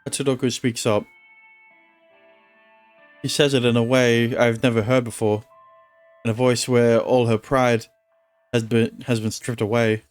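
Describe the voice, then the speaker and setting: A man's voice: medium pitch, a little slow, pauses often, faint music behind. Man reading a visual novel aloud. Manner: casual, reads narration in a steady tone then chats offhand. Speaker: streamer.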